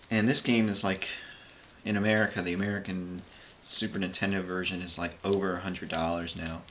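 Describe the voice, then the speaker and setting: A man's voice: lowish voice, fairly bright tone, a little hiss or hum. A man casually showing off and talking through his video game purchases, rambling with many fillers and restarts. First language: English. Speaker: video game collector vlogger. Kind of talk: casual unscripted show-and-tell.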